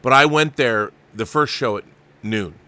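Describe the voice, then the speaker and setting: Male host, middle-aged, forceful bright voice, opinionated and enthusiastic in casual fan chat. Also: medium pitch, measured pace. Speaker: show host.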